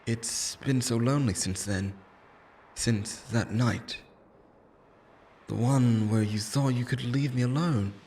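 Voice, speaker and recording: A man's voice: mid-range voice, slow, long pauses, a little mellow, a little hiss or hum. A man playing an obsessive, lonely immortal lover in an intimate, theatrical roleplay monologue, pleading and possessive, moving between tenderness and menace. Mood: tender longing.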